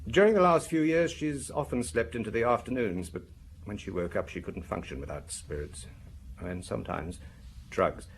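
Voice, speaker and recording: An actor playing a weary, defensive husband suspected of murder in a radio crime drama, explaining himself haltingly. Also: male voice, fairly low pitch, a little hiss or hum, natural voice. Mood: guarded, confiding. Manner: evasive, halting, self-justifying.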